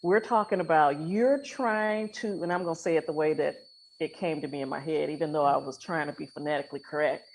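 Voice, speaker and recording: A woman's voice: deep voice, a little fast. An American woman hosting a casual, unscripted podcast in a playful, emphatic, colloquial way. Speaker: podcast host. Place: hotel with people passing in the background. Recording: clearly noisy podcast audio with ringing.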